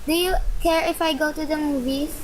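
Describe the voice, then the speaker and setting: A woman playing an eager, pestering junior high schoolgirl with a whiny, pleading manner in a radio play. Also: steady tone, a little fast, close to an everyday voice, low-quality recording. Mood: bored, protesting. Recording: faint background noise.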